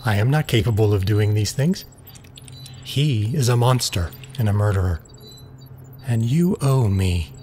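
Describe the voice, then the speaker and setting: An actor playing an obsessed, ruthless scientist in an audio drama, commanding and insistent. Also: male voice, fairly low pitch, a little slow, pauses often, warm tone, a little hiss or hum, lightly acted. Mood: grim obsessed. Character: obsessed, ruthless man scientist, bent on vengeance.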